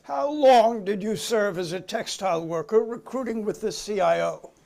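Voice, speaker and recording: High-pitched, creaky committee counsel, read by a man. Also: moderate pace, a little mellow. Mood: businesslike. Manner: clipped, official, persistent questioning. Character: methodical, formal male committee counsel questioning a witness. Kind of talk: dramatic reading of a hearing transcript.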